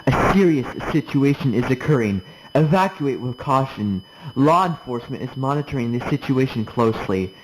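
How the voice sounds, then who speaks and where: A man's voice: mid-range voice, animated, moderate pace. A man reading a formal scripted emergency order as an alert system announcer. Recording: noisy broadcast with clicks.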